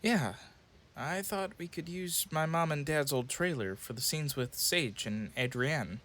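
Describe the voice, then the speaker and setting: A man playing a soft, eager teenage boy in an audio drama, with a mild, hesitant, conciliatory way of talking. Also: fairly high pitch, measured pace. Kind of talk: audio drama acting and narration.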